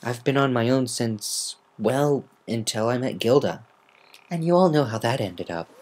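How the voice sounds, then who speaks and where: A performer voicing a tough, guarded young female cartoon pegasus pony with an awkward, halting manner. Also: medium pitch, lively intonation, darkish tone. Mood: guarded confession.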